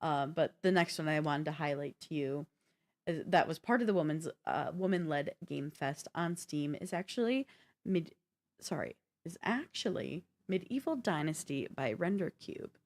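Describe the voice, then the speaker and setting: A woman's voice: low voice, animated, normal speed. A woman co-hosting a video game podcast, chatting casually and explaining things in an informal way. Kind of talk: casual gaming talk show chat.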